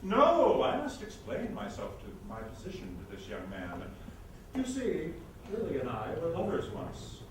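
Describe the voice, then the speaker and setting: An actor playing a flamboyant, scheming impresario in a comic stage reading, mocking and melodramatic with a hammy, projected delivery. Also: male voice, a little high, wildly swooping pitch, a little slow. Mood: grandiose. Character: flamboyant, scheming theatrical impresario, taunting a rival. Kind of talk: comic stage acting, readers theatre. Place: indoor stage or hall. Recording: echoey stage, distant mic, faint noise.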